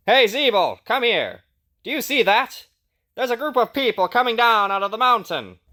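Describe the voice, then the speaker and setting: A man playing a boastful, excitable rebel leader in an audio Bible drama, loud and theatrical. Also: very high voice, moderate pace, heavily acted. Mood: alarmed.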